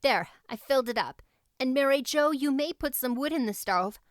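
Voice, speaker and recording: Plucky storybook girl voiced by a woman, confident, brisk and earnest, raised pitch, crisp tone. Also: animated, dry recording, studio quality. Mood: brisk, bossy. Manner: brisk, earnest, take-charge. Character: confident, capable, talkative eleven-year-old girl heroine.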